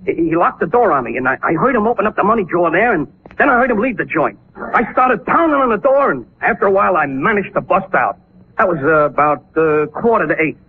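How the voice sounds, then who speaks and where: An actor playing an eager, vain working-class gas station attendant, excitable and halting, in 1940s radio drama. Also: male voice, sits high, a little hiss or hum. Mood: nervous, explaining. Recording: muffled vintage 1940s radio recording.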